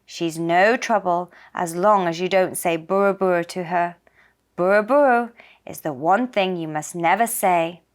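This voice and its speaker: A woman's voice: fairly low pitch, a little slow, natural voice. A woman voicing a poor, eager, trusting man in a children's storybook folktale, with simple exclamatory speech. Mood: earnest.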